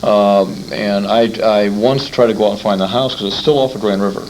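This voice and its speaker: A man's voice: fairly low pitch, steady tone, brisk, a little mellow, slight background noise, low-quality recording. A man speaking informally as the interviewer in a conversation.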